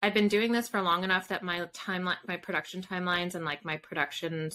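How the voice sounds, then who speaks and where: Bright-voiced woman chatting casually, slightly low pitch. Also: fairly even intonation, fast talker, slight background noise. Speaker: guest, fiction author. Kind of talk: casual interview chat. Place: home room with bookshelves, on a video call.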